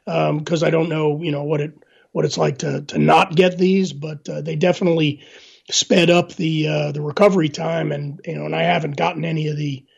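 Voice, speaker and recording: A middle-aged man, hoarse after illness, answering interview questions in a halting way with many fillers and restarts. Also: fairly high pitch, level tone. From Northern Virginia, USA. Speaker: guest. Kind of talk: casual interview talk. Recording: dull lo-fi line.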